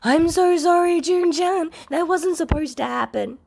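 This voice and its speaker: A woman voicing a bright, eager teenage boy who babbles along in a story reading. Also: extremely high pitch, measured pace, creaky, voice pushed up, exaggerated voice. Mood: apologetic, flustered.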